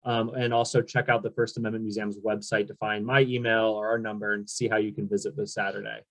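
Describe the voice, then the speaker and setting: A man's voice: lowish voice, flat delivery, fast, a bit bright, dry recording, rough recording. Guy moderating a zoom panel, upbeat, lots of ums, dry close sound. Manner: friendly, upbeat, many ums. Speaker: event moderator. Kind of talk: hosting a panel webinar. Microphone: video call.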